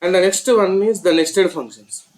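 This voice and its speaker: A man's voice: high-pitched, normal speed, a little mellow, low-quality recording. A man with an Indian accent explaining step by step and repeating himself as a programming instructor in a tutorial. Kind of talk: screen-recorded tutorial explanation.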